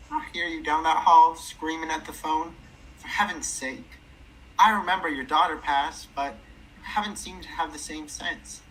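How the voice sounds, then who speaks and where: Woman acting a low-voiced, folksy old lady. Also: a bit bright, a little hiss or hum, rough recording. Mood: fussing. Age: older. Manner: folksy, fussing, motherly. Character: ailing, chatty, kindly elderly neighbour woman. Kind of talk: radio play acting.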